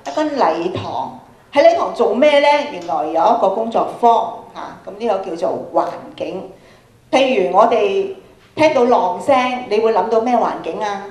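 A woman's voice: mid-range voice, moderate pace. A middle-aged woman with a Hong Kong Cantonese accent, giving an interactive workshop lecture to students and putting questions to them as she projects to the hall. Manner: animated questioning, projecting to an audience. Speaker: workshop speaker, radio programme producer. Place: large school hall, on stage. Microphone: handheld microphone through PA, camera at a distance. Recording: echoey auditorium PA sound.

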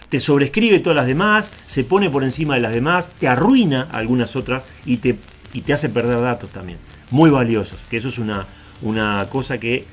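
Rioplatense male tech host, middle voice, casual and rambling, faint music bed. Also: mid-range voice. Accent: Rioplatense Spanish. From Argentina, raised in Uruguay. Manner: chatty, digressive, checks in with "¿tá?". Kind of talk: casual screen-share tutorial.

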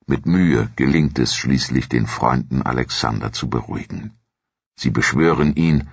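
Man, extremely low voice, documentary narration, measured and dramatic, dry but slightly dull recording. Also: gravelly, fairly bright tone, breathy edge, no echo. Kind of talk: scripted historical documentary narration.

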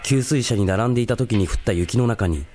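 A man's voice: on the low side, brisk, a little mellow. A man reading scripted narration for a documentary-style drama. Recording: voice under prominent music.

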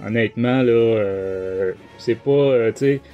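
A man's voice: medium pitch, takes it slowly, phone-quality sound, soft background music. A man with a Québécois French accent hosting a podcast, giving a casual book review in a rambling, colloquial, hesitant way.

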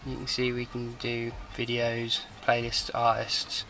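A man's voice: medium pitch, completely flat delivery, a little slow, sharp tone, slight background noise, low-quality recording. A man giving an informal, matter-of-fact product walkthrough as an installer, talking off the cuff. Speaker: car audio installer presenting a demo. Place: in and around a parked car.

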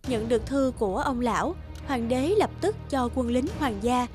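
A woman's voice: fairly high pitch, moderate pace, darkish tone, faint music behind. A woman reading scripted fairy-tale narration for children, as the storyteller.

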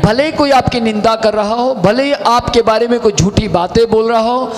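A man in his thirties preaching a call-and-response sermon, swinging between loud and quiet and acting out the voices he quotes. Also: very high voice, normal speed, big-room sound, noisy background. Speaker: pastor. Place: studio stage with curtains, indoors.

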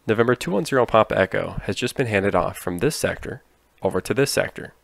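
A man giving scripted explainer narration in a clear, instructive manner. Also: fairly low pitch, a little fast, slight background noise. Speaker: narrator explaining air traffic control.

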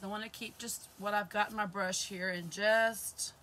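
A woman in her fifties with a hoarse voice, chatting to viewers as she works through a live craft project in her craft room. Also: mid-range voice, fairly even intonation, normal speed, sharp tone, a little hiss or hum. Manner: chatty, greets viewers while working with her hands. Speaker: craft channel host. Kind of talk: live crafting stream chat. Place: indoor craft room with shelves. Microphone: camera facing her across the table.

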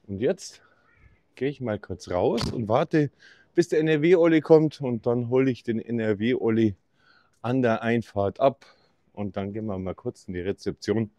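A man's voice: mid-range voice, unhurried, dark tone, no room sound. A middle-aged man from Bavaria, giving an upbeat, jokey walk-through tour of a campsite while filming himself. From Bavaria, Germany. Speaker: camping vlogger. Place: campsite outdoors and indoor reception office.

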